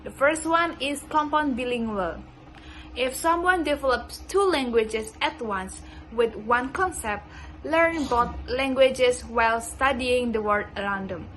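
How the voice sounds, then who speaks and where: Student type: young woman, Indonesian accent, a touch high-pitched, careful and unhurried delivery of a prepared class talk. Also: fairly bright tone, a little hiss or hum, rough recording. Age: young adult. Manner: slow, careful reading of a prepared script. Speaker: student presenter. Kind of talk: class presentation.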